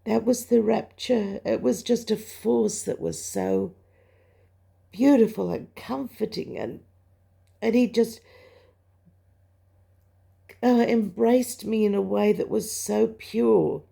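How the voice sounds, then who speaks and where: An older woman with a croaky voice gives a personal spiritual testimony, telling it in a halting, reflective way. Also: on the high side, warm tone. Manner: halting, reflective storytelling with pauses. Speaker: religious vlogger sharing a testimony. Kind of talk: personal spoken testimony, recounting a dream.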